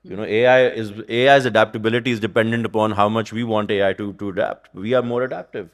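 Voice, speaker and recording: Man, low, musing panelist. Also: fairly even intonation, fast talker. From Pakistan. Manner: thoughtful, rambling, frequent "you know" and "right?". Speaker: panelist, economist. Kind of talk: panel discussion. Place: conference stage.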